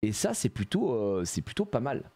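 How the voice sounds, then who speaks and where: A man's voice: medium pitch, normal speed, slightly rough. A man chatting casually on a live stream as he opens trading card packs, joking around and laughing often. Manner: animated and jokey, laughs often, plays on words.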